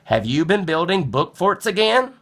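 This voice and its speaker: A man voicing a brisk, knowing woman head librarian who talks in an instructive, matter-of-fact way in a children's storybook. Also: high voice, very animated, a bit bright, voice pushed up, exaggerated voice. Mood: surprised. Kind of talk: children's storybook read-aloud.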